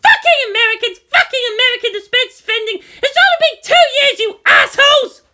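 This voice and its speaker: A woman voicing a furious, desperate female military commander in a fan fiction reading, shouting and frantic. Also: very high-pitched, lively intonation, fairly quick, pitched above the performer's own voice, full character voice. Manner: shouting, foul-mouthed, frantic.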